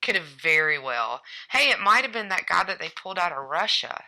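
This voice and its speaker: Low, bright-voiced woman co-host. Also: big pitch swings, fairly quick, poor audio. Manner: conversational, hedging with fillers, wry asides. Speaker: podcast co-host. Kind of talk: casual chat about cybersecurity.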